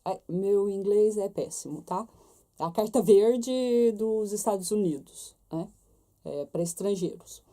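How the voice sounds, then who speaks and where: A young Brazilian woman with a Brazilian Portuguese accent vlogs in a relaxed, chatty way. Her voice is dark and mellow, and her pitch sits in a middle range for a woman. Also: slow. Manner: chatty, talks straight to viewers with many tag questions. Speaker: vlogger. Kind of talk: casual chat to camera.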